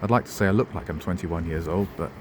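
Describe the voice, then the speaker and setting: A man's voice: low voice, quick pace, noisy background. A middle-aged man, giving a rambling walking-tour commentary with wry, self-mocking asides, outdoors in a town street. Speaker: travel vlogger.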